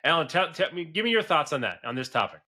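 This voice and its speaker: Bright, forceful man of forty hosting a podcast. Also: on the high side, big pitch swings, fast. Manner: earnest, emphatic, often checks with "right?". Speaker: podcast host, business advisor. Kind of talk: conversational business advice podcast.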